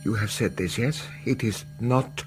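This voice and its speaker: An actor in a radio drama playing a frightened, erratic foreign European professor, courteous but halting, stammering and repeating himself. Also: male voice, mid-range voice, faint music behind, lightly acted. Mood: agitated, halting.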